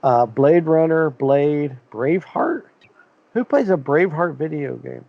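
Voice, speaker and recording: A man's voice: a little high, expressive, unhurried, creaky, dry recording, highs cut off. A man co-hosting a casual podcast chat, rambling and joking as he lists titles off the top of his head. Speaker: podcast co-host.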